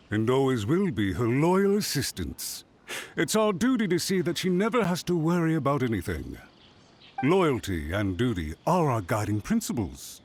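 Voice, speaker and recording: Pompous official, swooping intonation. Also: male voice, mid-range voice. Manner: pompous, theatrical, emphatic. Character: haughty, scheming male government official, indignantly self-righteous. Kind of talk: video game voice acting.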